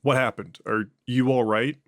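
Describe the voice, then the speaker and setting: A man's voice: mid-range voice, steady tone, moderate pace, fairly bright tone, no room sound, studio quality, natural voice. A man doing an earnest, persistent interrogator. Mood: alarmed. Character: earnest male interrogator at a secure facility.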